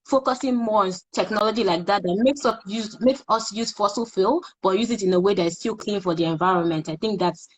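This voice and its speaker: A young woman hosting an online interview, agreeing warmly and asking questions with hesitant fillers. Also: medium pitch, brisk, hardly any pauses, poor audio. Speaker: interviewer, host.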